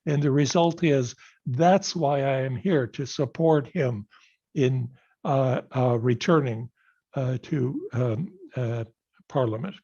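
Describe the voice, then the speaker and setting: Husky older man on a lo-fi call. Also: mid-range voice, warm tone. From Canada. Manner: earnest, measured storytelling. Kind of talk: personal speech on a video call. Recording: dull lo-fi video call audio.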